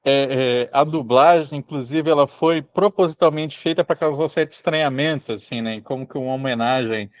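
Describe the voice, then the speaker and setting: A man's voice: mid-range voice, moderate pace, few pauses, dry recording. Brazilian man on a dull, lo-fi call. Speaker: workshop host. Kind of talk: conversational questioning. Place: online video call. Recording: dull, lo-fi video call audio.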